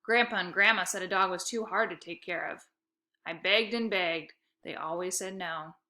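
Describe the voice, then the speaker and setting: A storybook dad, as a woman reads him, musing and hard to convince. He speaks deliberately and noncommittally in a bright, clearly projected voice. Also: on the low side. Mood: wistful.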